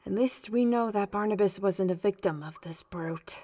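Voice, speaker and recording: A woman's voice: mid-range voice, moderate pace, dark tone, lightly acted. A woman playing a bossy, wry adult adventurer who takes charge of youngsters in a fantasy audio drama.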